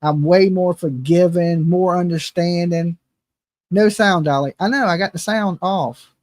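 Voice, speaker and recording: A man's voice: sits high, measured pace, a little mellow, no room sound. A man in his fifties hosting a true crime channel, chatting casually and bluntly with lots of "right?" and "okay?". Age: 50s. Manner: rambling, blunt, self-mocking, frequent "right?" and "okay?". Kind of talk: casual livestream chat.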